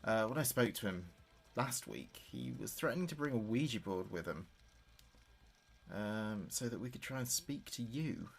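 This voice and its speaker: A man's voice: fairly low pitch, expressive, moderate pace, slight background noise. An actor playing a grieving adult son in an audio drama, speaking hesitantly and confidingly as if taping messages for his dead father. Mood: worried. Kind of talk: audio drama monologue as a taped diary.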